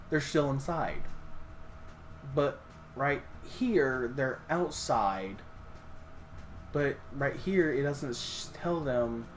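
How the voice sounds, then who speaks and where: Man reading a story aloud. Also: medium pitch. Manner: reads with hesitant restarts, stops to comment. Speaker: story reader. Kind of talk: fan reading of a game story.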